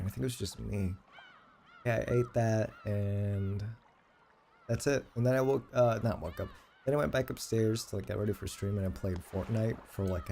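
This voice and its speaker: A man's voice: fairly low pitch, creaky, darkish tone, a little hiss or hum. A man in his late twenties chatting casually on a livestream, rambling and self-deprecating with plenty of swearing. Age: late 20s. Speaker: game livestreamer.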